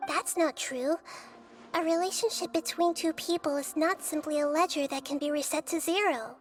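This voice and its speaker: An actress voicing a gentle, childlike, wise little female deity in a video game, speaking in a measured, thoughtful way. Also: high-pitched, lightly acted. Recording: background music under voice.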